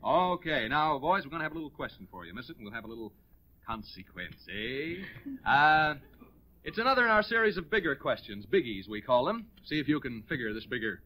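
A man's voice: mid-range voice, very animated, brisk, sharp tone, rough recording. An American man hosting a 1940s radio game show, joking like a showman with contestants and audience. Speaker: quiz show host.

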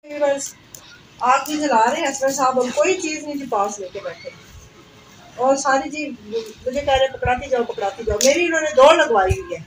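A woman's voice: high voice, normal speed, rough recording. A woman in her thirties from Pakistan, speaking, chatting while she cooks and explaining each step, with "ji" often dropped in. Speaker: vlogger cooking. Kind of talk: casual cooking vlog narration. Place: outdoor village rooftop courtyard. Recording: outdoor camera audio with noise.

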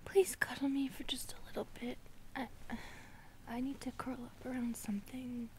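A woman playing an affectionate, playful, shy centipede monster girlfriend in an ASMR roleplay, soft, breathy and intimate. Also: sits high, level tone, moderate pace, a little hiss or hum, natural voice. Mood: sleepy, pleading. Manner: intimate, playful, lightly teasing.

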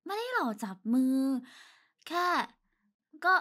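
A woman's voice: slow pace, a bit bright. A woman voicing a proud, easily embarrassed tsundere schoolgirl in an anime style. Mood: embarrassed, huffy. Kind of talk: anime-style voice pack acting.

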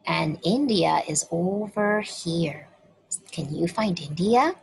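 A woman from the United States reading a picture book aloud to children, slow and expressive, asking them questions. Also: fairly low pitch, gravelly, a little hiss or hum. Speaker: children's story reader.

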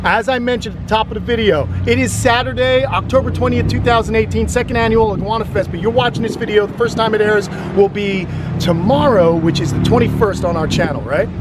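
Upbeat male vlog host, high voice. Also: a little fast, heavy background noise. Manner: upbeat, addresses viewers directly. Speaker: YouTube host. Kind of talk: enthusiastic vlog interview. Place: outdoors beside reptile enclosures. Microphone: camera mic, close framing. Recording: outdoor recording with music bed.

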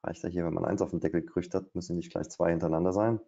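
A man's voice: deep voice, steady tone, rapid-fire, gravelly, mellow, breathy edge. A middle-aged man doing live trading commentary at his desk, thinking aloud with hesitations and fillers. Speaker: day trader. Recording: dull, lo-fi stream audio.

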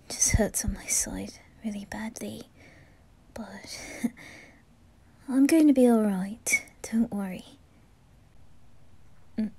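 A woman voicing a wounded, lovestruck teenage boy in an ASMR roleplay, soft and breathy with a hesitant, tender manner. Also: mid-range voice, full character voice. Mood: pained, reassuring. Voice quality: soft, breathy, often whispering.

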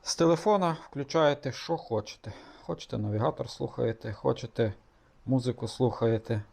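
A man giving a relaxed, casual product demonstration, thinking aloud with small hesitations. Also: medium pitch, fairly quick, gravelly. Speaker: motorcycle vlogger.

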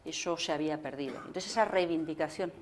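A middle-aged woman, answering at length on a panel in a conference hall. She speaks in long, measured sentences and often restarts mid-phrase. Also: deep voice, slight background noise. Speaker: government minister on a panel. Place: stage in an indoor conference hall.

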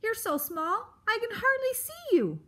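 A crotchety, blustering beast from a children's storybook, played by a woman reader. He snarls and snaps rudely in a raised, high-pitched bark. His temper is brash and impatient. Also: big pitch swings, a little slow, pushed and bright, heavily acted. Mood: demanding. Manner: snarling, snapping, brash and insulting. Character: grumpy old male porcupine-like beast, blustering, crotchety.

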